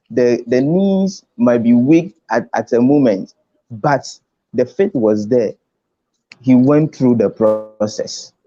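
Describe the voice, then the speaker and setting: Slow-spoken Ghanaian man, dull call audio. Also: medium pitch, warm tone. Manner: emphatic, repeats phrases for weight. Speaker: conference speaker. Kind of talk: motivational talk on a video conference.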